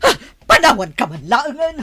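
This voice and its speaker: A woman's voice: high-pitched, huge pitch swings, moderate pace, husky, exaggerated voice. A woman playing a suspicious, haughty mother of a grown son, scolding and nagging in an audio drama. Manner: sharp, nagging, exclamatory. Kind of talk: melodramatic audio drama acting.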